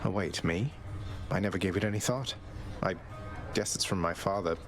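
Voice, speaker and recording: A man's voice: sits low, moderate pace, over loud music. A man voicing a young, polite underworld prince in a video game, dry and understated with some hesitation. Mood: casual, curious. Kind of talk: dramatic video game voice acting.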